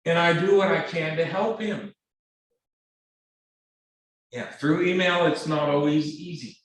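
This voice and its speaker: A man lecturing a math class, speaking in a halting, earnest way with repeated words and many fillers. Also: fairly high pitch. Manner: earnest, pleading. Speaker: math instructor. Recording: very echoey classroom, distant mic.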